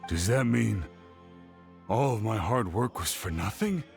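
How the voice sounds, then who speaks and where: An animated, creaky earnest young researcher. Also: male voice, on the low side, measured pace, faint music behind. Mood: dejected. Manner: earnest, insistent, explaining. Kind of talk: video game dialogue.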